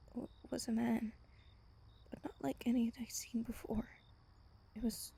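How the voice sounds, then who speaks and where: A woman playing a gentle, wistful female vampire, telling a bedtime story tenderly and intimately in a breathy, whispery, soft-spoken roleplay style. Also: fairly high pitch, full character voice. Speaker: voice actor in roleplay audio.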